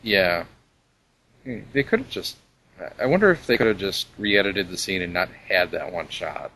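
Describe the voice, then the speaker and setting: A man's voice: low-pitched, lively intonation, normal speed, sharp tone, low-quality recording. A man in a casual podcast chat, giving emphatic, opinionated takes. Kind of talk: casual chat about animated films.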